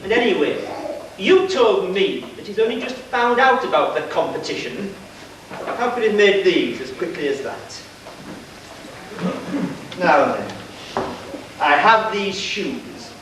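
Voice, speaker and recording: High-pitched, scheming pantomime villain in echoey hall. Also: male voice, animated, fairly bright tone. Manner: theatrical, drawn-out, grandiose. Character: scheming, pompous villainous shoemaker and gang boss. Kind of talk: amateur pantomime stage acting. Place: church pantomime stage. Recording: echoey hall, distant mic, hissy old video.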